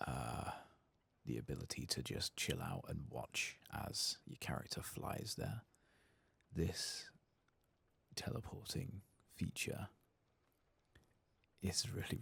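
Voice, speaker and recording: A deep-voiced man narrates his video game in a soft ASMR style. His delivery is breathy and crackly, often close to a whisper, and casually murmured. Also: big pitch swings, takes it slowly, long pauses, no room sound. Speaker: ASMR gaming channel host.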